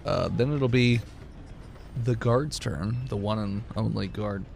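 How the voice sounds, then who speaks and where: A man's voice: fairly low pitch, slow pace, vocal fry, very noisy. A man acting as game master, casually improvising combat descriptions and joking with his players. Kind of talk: casual improvised tabletop game narration and banter.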